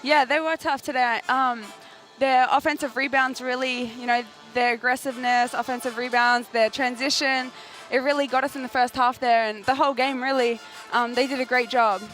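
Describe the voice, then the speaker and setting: Young woman athlete, higher voice, faint music. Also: steady tone, normal speed, fairly bright tone. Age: young adult. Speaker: basketball player being interviewed. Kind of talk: casual postgame interview.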